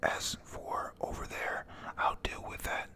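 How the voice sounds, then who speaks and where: A person whispering a casual, halting, slangy retelling of a manga chapter, panel by panel, reading out the characters' lines. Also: pushed and bright. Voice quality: breathy unvoiced whisper. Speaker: manga reader narrating.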